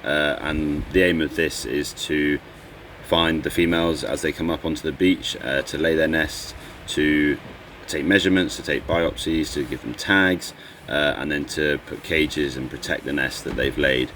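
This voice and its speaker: A man's voice: very deep voice, moderate pace, slight background noise. A young man from England in his twenties, explaining his volunteer work casually to camera with an occasional uh. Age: 20s. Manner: steady, explanatory, some uhs.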